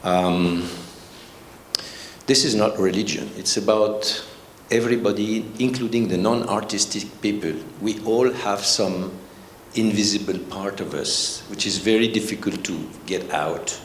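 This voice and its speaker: Deep-voiced French man, echoey room. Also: unhurried. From south of France. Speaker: panel speaker, arts mentor. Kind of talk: reflective panel talk. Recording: roomy echo, faint background noise.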